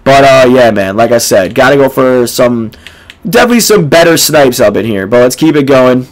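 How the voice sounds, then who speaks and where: A young man in his twenties with a hoarse, nearly gone voice, casually commenting on a game to his viewers in a small dark room. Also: mid-range voice, expressive, moderate pace. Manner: laid-back, filler-heavy, addresses viewers as boys. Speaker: gaming YouTuber.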